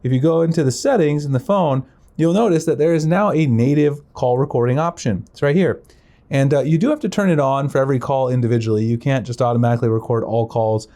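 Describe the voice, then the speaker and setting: A man with a mellow, relaxed voice of medium pitch walks viewers through phone features. His delivery is informal and conversational, explaining each step as a tech presenter would. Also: a little fast. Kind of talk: casual step-by-step phone demonstration.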